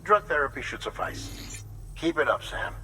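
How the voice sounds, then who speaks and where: An actor playing a measured, encouraging medical colleague in a video game, articulate and reassuring as he briefs. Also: medium pitch, big pitch swings, bright, forceful tone, slight background noise. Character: measured, encouraging male medical colleague giving mission updates.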